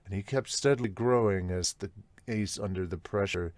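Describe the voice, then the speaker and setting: Low-voiced, blunt man on a podcast. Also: moderate pace, no room sound. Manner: profane, excitable, blunt. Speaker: podcast co-host reviewing manga. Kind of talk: casual reaction chat with comments read aloud.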